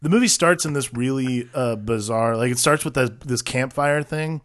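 A man's voice: medium pitch, moderate pace, fairly bright tone. A man co-hosting a casual film podcast, enthusiastic and rambling, often laughing. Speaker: podcast co-host.

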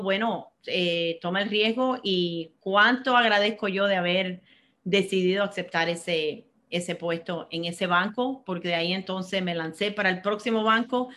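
A woman's voice: mid-range voice, a bit bright. Earnest middle-aged woman, reflective. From born in Cuba, raised in Miami. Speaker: interview guest, finance professional. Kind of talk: reflective personal interview answers.

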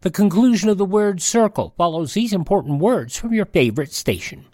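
A man's voice: high-pitched, animated, fairly quick, background noise. A man hosting a radio show, reading scripted continuity announcements. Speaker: radio host. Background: prominent music.

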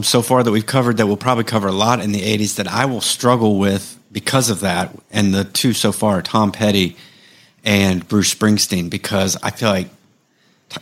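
Guy co-hosting a music podcast, lowish voice, laid back chatty, restarts a lot. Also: fairly bright tone. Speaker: podcast co-host. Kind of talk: casual chat.

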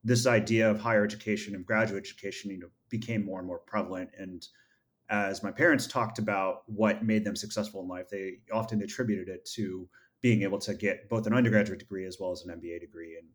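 A man from upstate New York, a podcast guest, answering in a measured, earnest way with frequent filler sounds. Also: deep voice, fairly even intonation. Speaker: podcast guest, MBA graduate and founder. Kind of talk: reflective interview answers.

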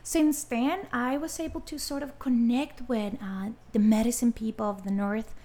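A young adult woman from Colombia with a Colombian accent, a guest speaking in a casual interview in a halting way with many fillers. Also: a little high, fairly even intonation, warm tone. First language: Spanish.

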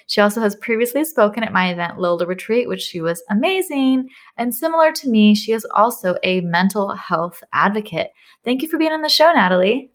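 A woman hosts a podcast interview in a mid-pitched voice. She introduces her guest eagerly and keeps the talk moving with affirming replies. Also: lively intonation, brisk. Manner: affirming, enthusiastic. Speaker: podcast host. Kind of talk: conversational interview.

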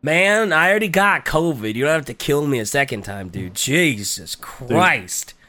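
A man co-hosting a podcast, talking casually with a raspy, congested voice while getting over a cold, hesitant and full of fillers. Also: fairly high pitch, lively intonation, normal speed, sharp tone. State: recovering from a cold. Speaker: podcast co-host. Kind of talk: casual chat.

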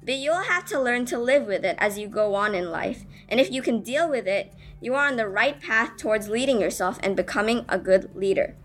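A woman's voice: on the high side, lively intonation, brisk, few pauses, a bit bright. A female student earnestly reading a prepared speech aloud, addressing listeners with rhetorical questions. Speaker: student reading own essay.

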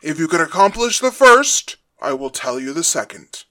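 A man playing a calm, calculating captive father god in an audio drama, quiet and deliberate. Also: a little high, no room sound, studio quality. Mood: measured, explaining.

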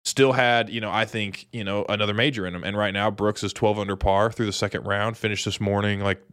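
A man's voice: lowish voice, steady tone, fairly quick, fairly bright tone, clean tone, no room sound, studio quality. A young American man from Dallas co-hosting a sports podcast, chatting casually about golf in slangy, informal talk. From Dallas, Texas, United States.

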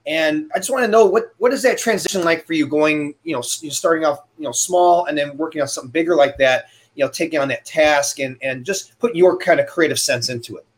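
Enthusiastic, halting male interview host. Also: fairly high pitch. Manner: enthusiastic, halting, many fillers. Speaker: podcast host. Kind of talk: casual interview questions.

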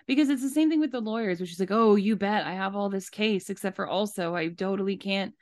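A woman's voice: mid-range voice, fast, a little mellow. A woman co-hosting a podcast in casual, opinionated discussion, speaking emphatically and indignantly and repeating points for stress. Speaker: podcast co-host.

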